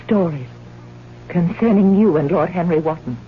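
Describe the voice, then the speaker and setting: An actress playing a devoted, easily wounded young fiancee in an old-time radio drama, speaking in an earnest, measured, melodramatic way. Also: female voice, fairly low pitch, soft background music, close to an everyday voice. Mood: concerned, accusing. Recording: muffled old radio broadcast with hiss.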